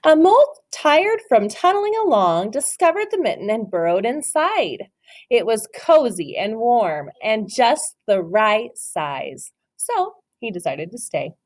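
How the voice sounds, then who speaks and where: A woman's voice: fairly high pitch, big pitch swings, a little slow. A woman teacher reading a picture book aloud to children, encouraging them and asking questions about the pictures.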